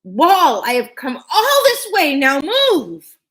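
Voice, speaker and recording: A woman's voice: high-pitched, huge pitch swings, takes it slowly, a bit bright, voice pushed up, heavily acted. A woman voicing a determined, curious young girl in a storybook, emphatic and insistent. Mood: demanding, shouting. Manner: emphatic, insistent questioning and commanding.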